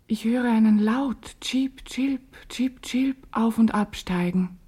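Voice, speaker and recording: Poetic radio play part: dreamy, wide-eyed woman, soft, breathy. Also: fairly high pitch, takes it slowly. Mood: hushed wonder.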